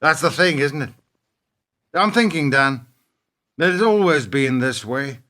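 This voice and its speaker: Principled middle-aged businessman, wounded, for radio play male actor; lightly raised pitch, forceful edge, wry pointed phrasing. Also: animated, measured pace. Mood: reflective. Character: wounded, principled businessman confronting his treacherous partner.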